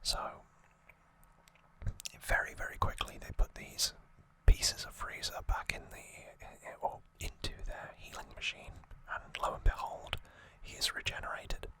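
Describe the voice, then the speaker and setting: ASMR host, fully whispered, breathy and bright, explaining things in a hushed conversational way. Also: unhurried, rough recording. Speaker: ASMR host recapping an anime series.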